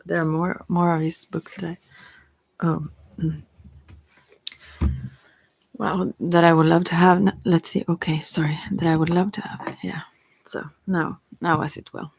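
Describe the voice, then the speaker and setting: A woman's voice: fairly low pitch, flat delivery, unhurried, vocal fry, pauses often, a little mellow, slightly rough. A middle-aged woman, chatting informally in an ASMR style with a hesitant, meandering manner, close to the microphone. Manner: hesitant, meandering, giggly asides. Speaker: ASMR tarot reader. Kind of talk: rambling, informal ASMR chat.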